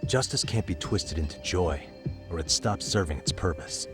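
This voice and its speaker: An actor playing a calm, serious private eye in a video game. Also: male voice, low-pitched, moderate pace. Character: level-headed male private eye, calm. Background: game music.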